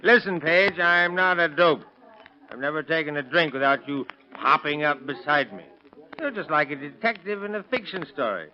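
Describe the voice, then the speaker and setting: Actor playing a theatrical, bitter drinking suspect, higher-voiced man. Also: lively intonation, normal speed, lightly acted. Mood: defiant. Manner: theatrical, effusive, mockingly polite. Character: friendless, bitter drinking murder suspect with literary airs. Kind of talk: 1930s radio crime drama acting. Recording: dull lo-fi vintage radio recording.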